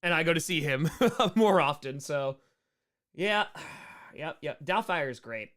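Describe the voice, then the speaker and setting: A man's voice: fairly high pitch, expressive, measured pace, fairly bright tone. A man hosting a casual livestream Q&A, joking and repeating short phrases as he goes. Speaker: streamer hosting a live Q&A.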